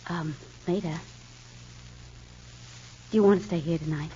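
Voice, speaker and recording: An actress playing a concerned, gently reassuring woman friend in a 1950s radio soap style. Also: deep voice, measured pace, slight background noise. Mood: soothing. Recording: dull vintage radio drama recording.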